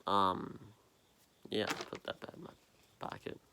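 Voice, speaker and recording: A tired young man with a deep voice, rambling slowly in a late-night vlog, halting and full of fillers, recorded on a propped-up phone in a quiet basement. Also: background noise. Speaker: vlogger. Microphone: phone propped up nearby.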